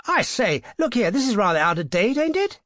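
A man voicing an indignant young English gentleman motorist, blustering and high-pitched in his upper-class exclamations. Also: very animated, a little fast, no room sound, clean studio sound. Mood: incredulous.

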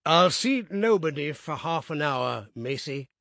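A man's voice: fairly high pitch, expressive, unhurried, vocal fry, pushed and bright, dry recording, studio quality, pitched above the performer's own voice, heavily acted. A man voicing a hearty, bluff older boss of a firm. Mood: commanding. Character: hearty, stout, commanding older male boss.

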